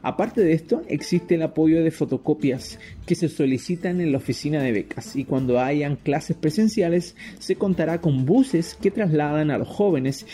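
A male Chilean news reader with a fairly high voice and a mellow tone. He delivers scripted local bulletins over light background music. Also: moderate pace, few pauses.

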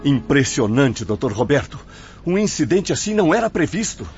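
Concerned brazilian nurse, lively swoopy voice, dull sound, music behind. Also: male voice, a little high, moderate pace. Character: male nurse, caring, earnest, concerned. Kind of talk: radio drama acting. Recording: muffled radio drama with music.

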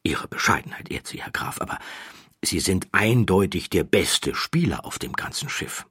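A discreet society gentleman in an audiobook, acted out by a male narrator. He is courteous, confiding and flattering, in a very husky, low voice with a dry, close sound. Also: normal speed, no room sound, in a whisper, full character voice. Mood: eager, flattering. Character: finely dressed gentleman passenger, discreet and flattering. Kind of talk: audiobook character dialogue.

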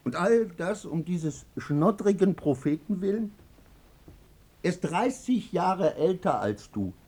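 An actor in a German radio drama playing a wounded, vain husband haunted by shame, halting and intense. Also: male voice, high voice, big pitch swings, mellow, slightly rough, full character voice. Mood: urgent scolding.

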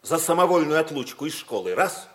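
A man's voice: a little high, big pitch swings, a little fast. An actor playing a stern but caring male school teacher, authoritative and ironic, in a mid-century radio drama. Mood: stern, scolding. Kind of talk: mid-century Soviet stage acting for radio.

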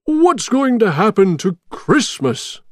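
A man voicing a defiant, indignant old Father Christmas figure in a storybook, loud, emphatic and protesting. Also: high-pitched, big pitch swings, slow pace, no room sound, clean studio sound, pitched above the performer's own voice, exaggerated voice. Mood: indignant despair.

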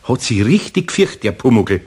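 A gruff kindly old Bavarian carpenter. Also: male voice, medium pitch, big pitch swings, darkish tone. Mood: musing. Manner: grumbling, slow, good-natured. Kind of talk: radio play acting.

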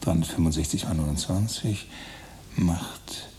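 An actor playing an obsessive, meticulous writer in a radio drama, listing and calculating with methodical precision. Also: male voice, sits low, moderate pace, creaky, warm tone, a little hiss or hum. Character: obsessive man, a writer, meticulously planning his austere seclusion. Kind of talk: radio drama monologue, German.

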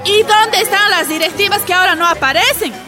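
An actress playing an indignant miners' wife in a radio drama. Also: female voice, extremely high pitch, a little fast, over music. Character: indignant miners' wife shopping at company store.

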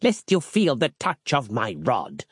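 A man's voice: medium pitch, wildly swooping pitch, unhurried, husky, no echo, clean studio sound, full character voice. A man voicing a stern, pious seventeenth-century ancestor spirit in a storybook, with an archaic, commanding, sermonizing manner. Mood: scolding.

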